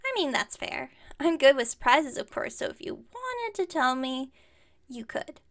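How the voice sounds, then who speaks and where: A woman voices a bubbly young witch who runs a magic shop in an ASMR audio roleplay. Her voice is high with plenty of vocal fry. She rambles on eagerly and amiably, speaking straight to the listener as a customer. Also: very animated, unhurried, a bit bright, exaggerated voice. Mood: friendly, rambling. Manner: chatty, rambling, enthusiastic. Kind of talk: ASMR roleplay, one-sided conversation with listener.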